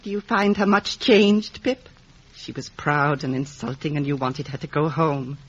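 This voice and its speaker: A woman's voice: low-pitched, a little slow, husky, dry recording. An actress playing a bitter, vengeful old lady in an old radio drama, commanding and impassioned. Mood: imperious. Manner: imperious, impassioned, repetitive commands. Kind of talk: 1950 radio drama acting. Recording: muffled vintage radio broadcast recording.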